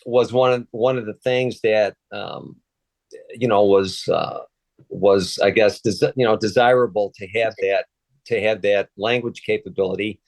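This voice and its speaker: A man in his sixties from Chicago, a native Polish speaker, talking in a relaxed, hesitant way with many fillers and restarts as an interview guest. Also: on the low side, unhurried, dry recording. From Chicago, USA. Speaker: interview guest, retired Secret Service agent. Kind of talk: casual conversational interview.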